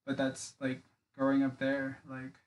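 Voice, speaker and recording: A man's voice: mid-range voice, completely flat delivery, measured pace. A young man from the Philippines, a podcast guest chatting casually in a small home studio, rambling with lots of filler words. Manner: rambling, many filler likes and restarts. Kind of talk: casual interview chat. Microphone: studio mic on boom arm, wearing headphones.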